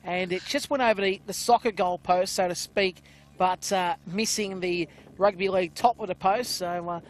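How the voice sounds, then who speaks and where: A man giving loud, excited play-by-play commentary on a rugby league match from an outdoor ground. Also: sits high, moderate pace. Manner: loud voice, calls play excitedly, many "uh" fillers.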